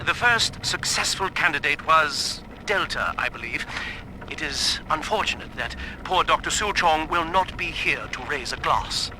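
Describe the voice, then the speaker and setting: An actor playing a proud, clinical scientist, reporting formally and with self-satisfaction in a game audio log. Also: male voice, fairly high pitch, expressive, measured pace. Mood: satisfied, clinical. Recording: faintly noisy audio log.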